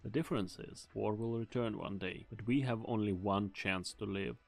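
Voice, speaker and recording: Man acting a low, gravelly mercenary leader. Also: steady tone, moderate pace. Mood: grave, resolute. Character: grave, calculating male mercenary team leader. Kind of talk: amateur game fan dub acting.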